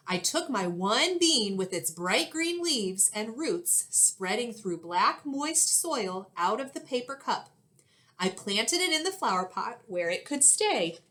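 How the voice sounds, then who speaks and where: Expressive woman teacher reading to children. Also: medium pitch. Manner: clear, expressive, instructive delivery for young children. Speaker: elementary school teacher. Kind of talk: children's storybook read-aloud and lesson. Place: small indoor classroom-like room.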